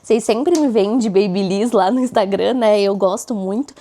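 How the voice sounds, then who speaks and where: A chatty woman with a hoarse, roughened voice talks casually to her followers, throwing in frequent tag questions. Her pitch sits at an ordinary middle height for a woman. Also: normal speed, dark tone. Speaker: vlogger. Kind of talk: casual chat to camera.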